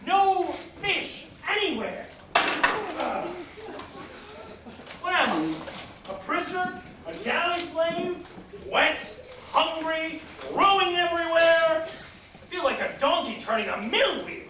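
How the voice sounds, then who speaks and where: Echoey, high-pitched theatrical grumbling fisher, acted on stage. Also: animated. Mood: exasperated. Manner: theatrical, exclamatory, drawn-out delivery with sighs. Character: weary, grumbling, theatrical old fisher with aching back and knees. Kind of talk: staged dramatic monologue. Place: live staged reading in a reverberant room. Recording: very echoey hall, distant mic, noisy.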